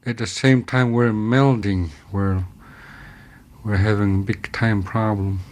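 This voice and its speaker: A man giving a halting, plain-spoken answer in an unscripted interview. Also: on the low side, steady tone, a little mellow. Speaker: interviewee, village store owner.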